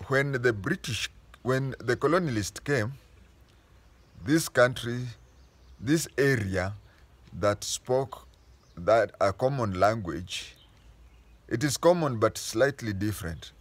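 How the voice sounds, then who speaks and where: A man with a Kenyan accent telling history in a casual lesson, unhurried, often stopping to restate and correct himself mid-sentence. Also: lowish voice. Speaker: history explainer.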